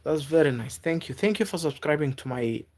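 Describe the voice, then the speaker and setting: A man from Africa, not a native English speaker, chatting live with viewers and giving gentle advice, halting and repeating words. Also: on the high side, expressive. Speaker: livestreamer. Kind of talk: casual live-stream chat with viewers.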